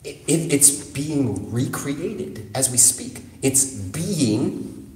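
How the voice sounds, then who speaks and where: Male interview guest, mid-pitched, casual but emphatic and philosophical, recorded in a reverberant space. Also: animated, very slow, a little mellow, far from the mic. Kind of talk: casual spoken discussion. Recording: echoey, reverberant room.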